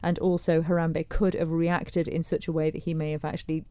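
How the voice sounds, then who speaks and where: Female radio co-host, lowish mellow voice, conversational discussion style, dry studio sound. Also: little pitch movement, brisk, smooth, dry recording. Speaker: radio co-presenter. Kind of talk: radio discussion, reading listener comments.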